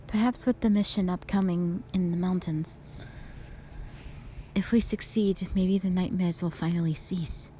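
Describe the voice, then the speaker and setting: A woman as a slow-spoken, stern soldier woman. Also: on the low side, steady tone, dark tone, slight background noise. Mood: weary, resolute. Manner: formal, measured, dry. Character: stern, reserved young woman soldier, weary and formal. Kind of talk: dramatic audio roleplay acting.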